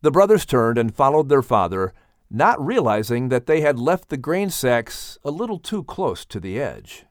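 An animated male storyteller narrates for children in a mid-pitched voice. His delivery swings expressively through the phrases, captured in a dry, close recording. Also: moderate pace, dry recording. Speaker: audiobook narrator.

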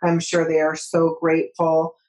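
A woman's voice: low-pitched, monotone, moderate pace, darkish tone, low-quality recording. A woman hosting a podcast interview, effusive and thankful toward her guest. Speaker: podcast host.

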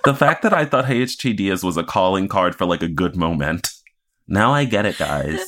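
A man with a low-pitched voice co-hosts a chatty podcast. He talks in a casual, animated, conversational way, bantering about television. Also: moderate pace. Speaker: podcast co-host.

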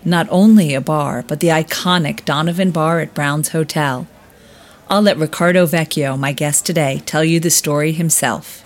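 A woman's voice: sits low, steady tone, moderate pace. A woman from the United States with an American accent, the host, giving a scripted introduction in a polished presenter delivery. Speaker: podcast host. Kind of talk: scripted introduction and interview questions.

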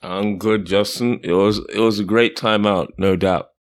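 Deep-voiced, measured podcaster. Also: male voice, steady tone. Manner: measured, descriptive, thoughtful. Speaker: podcast co-host reporting from the game. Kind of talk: casual sports podcast chat.

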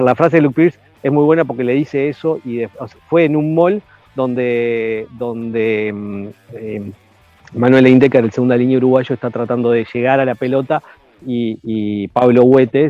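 Male podcast guest, analytical and measured, dull lo-fi dry sound. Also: mid-range voice, steady tone, no room sound, soft background music. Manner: analytical, measured, many hesitations. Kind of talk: casual chat.

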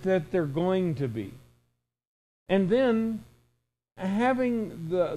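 A man's voice: high voice, warm tone, rough recording. A man in his sixties preaching an informal, conversational sermon in a folksy way, with emphatic repetition and imagined dialogue. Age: 60s.

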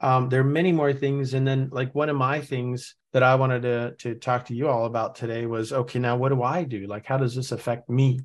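A man's voice: medium pitch, little pitch movement, fairly quick, few pauses. A man from Norway, hosting a podcast in casual chat, thinking aloud as he reads through material with frequent restarts. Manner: thinks aloud, reading and musing with many restarts. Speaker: podcast host. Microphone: remote call setup.

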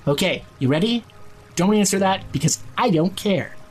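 Blunt, tipsy young guy, higher-pitched. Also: expressive, normal speed. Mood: blunt, firm. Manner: slangy, insistent, gruffly caring. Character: drunk, blunt, foul-mouthed young man confronting his friend. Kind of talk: audio drama acting, naturalistic.

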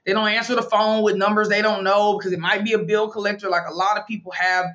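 A woman's voice: mid-range voice, steady tone, a bit bright, highs cut off. A woman in her thirties, a financial planner, talking frankly and emphatically in an interview. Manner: brisk, emphatic, frank advice-giving. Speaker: financial planner and money coach. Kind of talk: candid interview talk.

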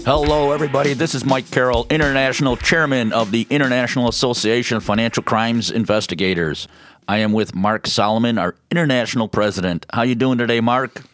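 A man's voice: medium pitch, fast, fairly bright tone, faint music behind. A man, casually interviewing as a podcast co-host.

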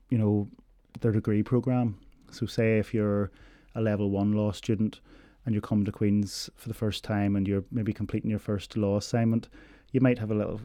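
Low, dark-voiced man. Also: no room sound, studio quality. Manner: measured, explanatory, frequent ums. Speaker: university learning support staff. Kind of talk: informational interview answers.

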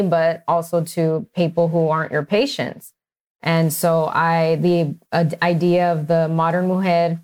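Low, flat-voiced woman from Texas. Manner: measured, earnest, with small restarts. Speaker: physician guest. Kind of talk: conversational interview answers.

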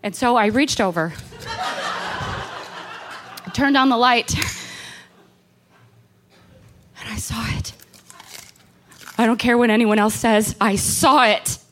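Horror radio drama: anxious, haunted woman, fairly high voice, intense and confessional delivery. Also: unhurried, long pauses, fairly bright tone, slight background noise. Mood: panicked. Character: anxious, haunted woman recounting an insect terror. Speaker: actor. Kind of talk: horror radio play monologue and dialogue.

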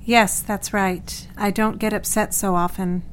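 A woman's voice: mid-range voice, fairly even intonation, measured pace, smooth, natural voice. A woman playing a placid, docile young married patient who speaks politely, simply and vaguely in a period audio drama.

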